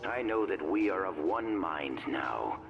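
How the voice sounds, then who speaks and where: A hateful, scheming politician from a video game. The voice is low and moves widely in pitch as it holds forth. The manner is smug and oratorical. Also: male voice, animated, unhurried, creaky, muffled recording, faint music behind, exaggerated voice. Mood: grandiose, menacing. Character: scheming, hateful male politician dictating and making speeches. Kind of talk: video game cutscene acting.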